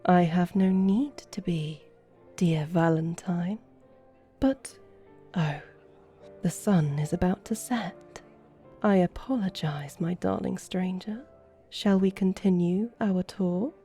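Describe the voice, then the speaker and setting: A woman playing a courtly, flirtatious vampire in an ASMR roleplay, speaking softly with a teasing, formal, elegant manner. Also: on the low side, slow, soft background music. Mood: warm, apologetic. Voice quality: soft, breathy. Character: flirtatious, courtly female vampire guiding a guest.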